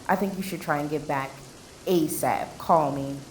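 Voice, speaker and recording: A woman's voice: sits low, moderate pace, a little mellow, a little hiss or hum, close to an everyday voice. A woman playing a sassy, impatient late-night diner waitress in an audio drama, with a brusque manner. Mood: concerned.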